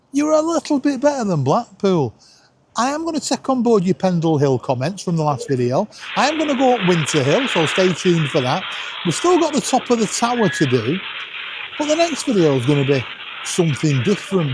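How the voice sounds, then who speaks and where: A man's voice: high voice, darkish tone, breathy edge. A man, chatting casually to camera as a vlog host in a jokey, self-deprecating way.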